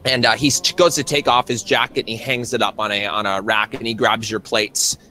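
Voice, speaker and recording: A man's voice: fairly low pitch, little pitch movement, brisk, pushed and bright, soft background music. A man improvising narration as game master of a tabletop roleplay, in a casual, descriptive way.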